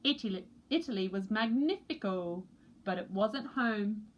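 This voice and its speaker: Slow, very animated woman storyteller. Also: mid-range voice, highs cut off, a little hiss or hum. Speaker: storybook reader for a school. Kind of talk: expressive children's story narration.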